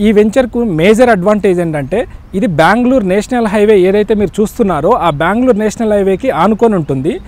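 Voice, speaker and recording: A middle-aged man with a Telugu accent giving a sales pitch to camera as a company chairman, recorded outdoors. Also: animated, quick pace, darkish tone. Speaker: company chairman promoting his venture. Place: outdoors on an open plot site by a highway. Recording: noisy outdoor location sound.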